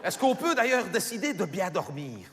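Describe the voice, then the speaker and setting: A man's voice: high voice, big pitch swings, normal speed, fairly bright tone, big-room sound, heavily acted. A man voicing a chatty middle-aged housewife with a Belgian French accent, rambling and stammering through self-corrections in a stand-up monologue. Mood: gossipy. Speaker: comedian.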